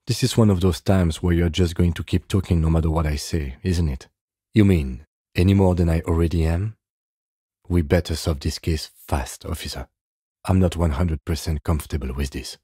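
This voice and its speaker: A restrained, courteous police lieutenant for a video game. His voice is deep, dark and husky, delivered in a measured deadpan with a dry, sardonic edge. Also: no room sound. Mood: restrained, wry. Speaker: game character, police detective. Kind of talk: video game dialogue voice lines.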